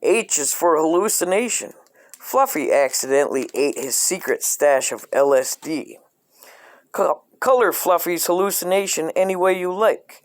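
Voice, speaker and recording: A man reading a comedic parody children's book aloud in a deadpan, scripted storyteller style. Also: fairly high pitch, moderate pace.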